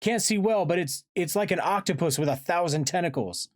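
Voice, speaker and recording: A man voicing a horrified, desperate boy in bird form, yelling in panic. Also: sits high, brisk, pitched above the performer's own voice, close to an everyday voice. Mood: frantic, horrified.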